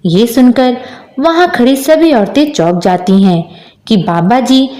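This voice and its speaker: A woman narrates a scripted moral tale in a high-pitched voice. She tells it as a storyteller, moving through the events as written. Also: animated, a little slow, mellow, reverberant.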